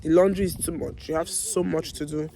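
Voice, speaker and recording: A woman with a Nigerian accent and a hoarse voice, teaching everyday phrases to viewers with slow, deliberate repetition. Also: lowish voice, steady tone, warm tone, a little hiss or hum. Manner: addresses viewers directly, repeats phrases slowly and deliberately. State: hoarse, losing her voice. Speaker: online language teacher, video host. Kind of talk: language lesson with slow repeated example phrases.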